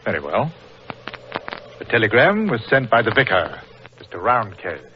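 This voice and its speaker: A muffled, faintly noisy old radio recording holds a guarded, hot-tempered explorer. His voice is on the low side, with stiff, formal 1940s drama delivery. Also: sing-song, normal speed. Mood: curt, offended. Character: stern, guarded, hot-tempered male explorer, big and imposing.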